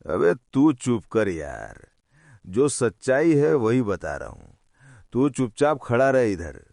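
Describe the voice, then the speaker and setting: A man playing a proud, big-hearted, tipsy businessman father-in-law in an animated story, speaking in an emphatic, declamatory way. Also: mid-range voice, slow pace, creaky, no echo, studio quality, exaggerated voice. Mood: tipsy, insistent. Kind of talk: animated story drama acting, speechmaking.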